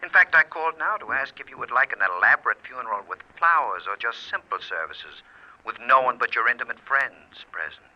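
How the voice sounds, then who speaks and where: A man's voice: mid-range voice, lively intonation, fairly quick, natural voice. An actor playing a sadistic, mockingly polite murderer in an old-time radio thriller, toying with his victim in silky mock concern. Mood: silky threat. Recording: muffled, noisy vintage radio recording.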